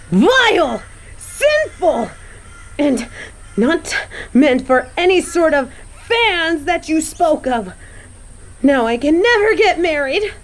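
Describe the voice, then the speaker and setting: A woman's voice: sits high, heavily acted. A woman voicing a snobby but secretly sweet young angel who stammers and gets flustered, in a romantic audio roleplay. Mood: indignant, huffy. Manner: stammering, flustered, haughty when embarrassed.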